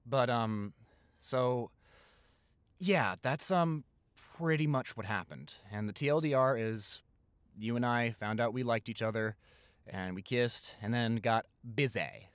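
A man's voice: medium pitch, unhurried, smooth, no echo, lightly acted. A young American man playing a playful, caring college-age boyfriend in improvised roleplay audio, teasing and reassuring with hesitant stammers. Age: young adult.

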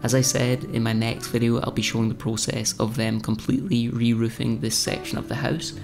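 A young man narrating his own renovation vlog in a relaxed, scripted voice-over with dry humour. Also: medium pitch, a little fast, music in the background. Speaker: renovation vlogger.

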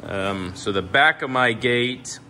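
A young man casually walking through his work trailer, talking in a relaxed, rambling way with lots of ums. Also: medium pitch, slow, background noise. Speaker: pressure washing business owner. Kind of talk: casual walkthrough tour. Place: indoor metal trailer, shop garage. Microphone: handheld phone camera.

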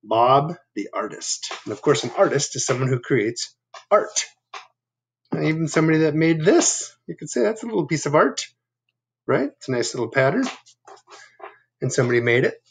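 A man in his fifties reading a picture book aloud to children, adding chatty asides and gentle questions. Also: medium pitch, slight background noise. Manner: asking the listeners gentle questions. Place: living room at home. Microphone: laptop webcam at arm's length.

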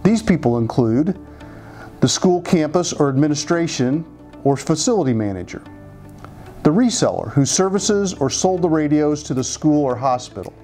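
A middle-aged American man presents a product from a script. His voice sits a little high for a man and has a soft, mellow tone. He explains in a measured, businesslike way, with music playing behind him. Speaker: company spokesperson. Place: office conference room, indoors. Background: background music.

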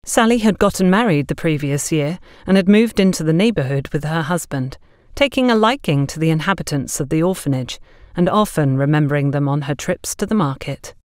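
Low-pitched woman narrator, dry sound. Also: lively intonation, fairly quick, darkish tone, dry recording. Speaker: audiobook narrator. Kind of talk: scripted story narration.

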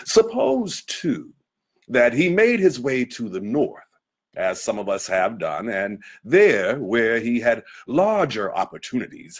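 A man performs literature aloud with theatrical care. He takes it slowly, leaving weighty pauses, and his pitch moves widely around a medium register. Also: slightly rough. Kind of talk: dramatic literary reading.

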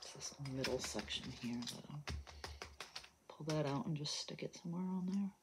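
A woman's voice: sits low, moderate pace, creaky, heavy background noise. A woman in a home kitchen giving practical, direct cooking instructions and reading recipe steps aloud. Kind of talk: casual instructions.

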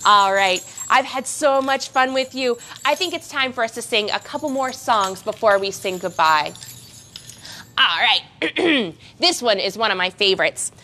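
A young woman leads a gym class for parents and babies, talking in a coaxing, sing-song way that leaps up high on playful words. Her voice is high and bright. Bells jingle softly behind her. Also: expressive, a little slow, a little hiss or hum. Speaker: baby and toddler gym class teacher.

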